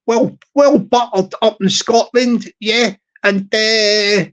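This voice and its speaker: Slow, halting high-voiced man. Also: fairly even intonation. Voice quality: high tenor voice. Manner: slow, halting, many fillers and repeated words. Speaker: YouTube live stream host. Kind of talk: rambling casual live stream chat.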